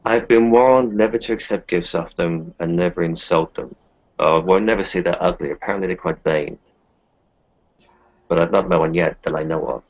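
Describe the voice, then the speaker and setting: Deep, gravelly, husky man in roleplay. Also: moderate pace. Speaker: tabletop roleplaying game player. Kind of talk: casual in-character roleplay. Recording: dull, lo-fi voice recording.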